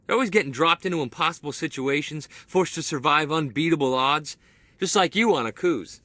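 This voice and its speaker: An actor playing an eager, restless young male corporal in a video game cutscene, talking in an excitable, boyish way. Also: fairly high pitch, fairly quick, no echo. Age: young adult.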